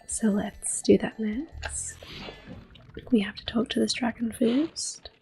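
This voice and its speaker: A woman giving soft-spoken ASMR gameplay commentary as host, often slipping into a breathy whisper, in a gentle, unhurried way. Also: a little high. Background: soft video game music.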